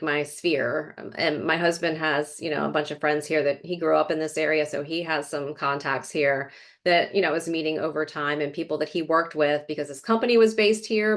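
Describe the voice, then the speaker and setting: Chatty woman, low voice, storytelling. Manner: chatty, fillers, rambling storytelling. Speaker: real estate agent, guest. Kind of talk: casual interview conversation. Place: Florida.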